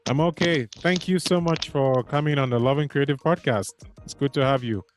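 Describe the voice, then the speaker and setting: A man's voice: medium pitch, normal speed, soft background music. A man hosting a podcast, introducing and interviewing in a conversational style.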